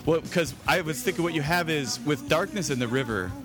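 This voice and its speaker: A man's voice: fairly high pitch, lively intonation, fairly quick, music in the background. A man hosting a podcast in casual, jokey conversation over an internet call, prone to chuckling. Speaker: podcast host. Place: remote Skype call.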